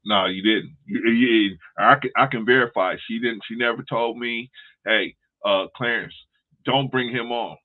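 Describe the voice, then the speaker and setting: A man hosting a casual livestream over a video call, relaxed and reassuring, calling his guests brother and sis. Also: mid-range voice, measured pace. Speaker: livestream host. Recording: tinny phone-like call audio.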